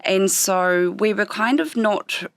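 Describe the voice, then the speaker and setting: A woman's voice: medium pitch, no room sound. Woman, New Zealand accent, first language Japanese, interview guest, reflective, frequent ums, dry close sound. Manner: thoughtful, pausing often with ums.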